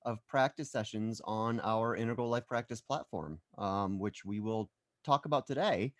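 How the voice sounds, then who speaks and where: A man's voice: fairly low pitch, normal speed, no room sound, clean studio sound. A man hosting a conversation show on a video call, upbeat and enthusiastic, with plenty of ums. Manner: upbeat, enthusiastic, with frequent fillers. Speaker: show host. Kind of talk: casual chat.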